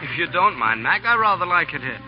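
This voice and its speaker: An actor playing an earnest, boyish young lieutenant, polite and eager, in a 1930s radio drama. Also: male voice, on the high side, very animated, brisk, soft background music. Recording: dull 1930s radio recording.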